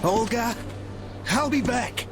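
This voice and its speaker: Gallant young soldier for a game: high-pitched, theatrical, unhurried, under loud music. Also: male voice, vocal fry, full character voice. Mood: tender, wistful. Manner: theatrical, exclamatory. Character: exuberant young soldier, gallant romantic, Alyosha. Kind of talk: video game voice acting.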